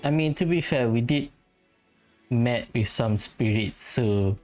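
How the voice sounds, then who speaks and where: A man giving casual, hesitant let's play commentary on a video game, speaking in a relaxed way. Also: medium pitch, slow, faint music behind. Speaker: gamer commenting on a playthrough.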